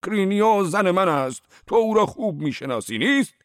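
A man voicing a furious middle-aged peasant father who shouts accusations in a high, strained voice. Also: animated, no echo, studio quality.

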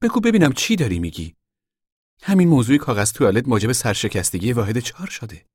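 A man voicing a stern, indignant committee woman in a story, sharp, scolding and commanding. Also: medium pitch, big pitch swings, breathy edge, no room sound, studio quality. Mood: sharp, accusing.

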